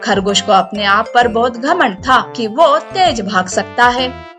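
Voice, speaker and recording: High, unhurried woman storyteller. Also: expressive, fairly bright tone, soft background music. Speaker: narrator. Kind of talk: scripted children's moral story narration.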